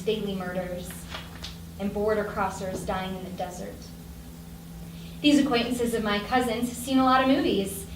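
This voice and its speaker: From across an echoey room, an actress plays a wry woman delivering a stage monologue. Her voice sits fairly high, with faint noise around it. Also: distant mic, natural voice. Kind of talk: staged dramatic reading, monologue. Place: live staged reading indoors.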